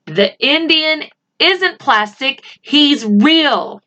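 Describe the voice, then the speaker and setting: An earnest schoolboy from a storybook, voiced by a woman reader. He speaks in a measured, emphatic way, hopeful and a bit guarded. The sound is slightly muffled. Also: high voice, animated, sharp tone, voice pushed up, full character voice. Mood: earnest, firm. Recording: slightly dull, lo-fi home recording.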